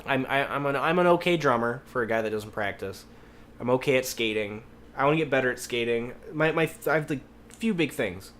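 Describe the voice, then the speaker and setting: A man's voice: medium pitch, lively intonation, a little fast, a bit bright. A man co-hosting a podcast, telling rambling anecdotes in casual chat and swearing freely. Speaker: podcast host. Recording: noticeable background noise.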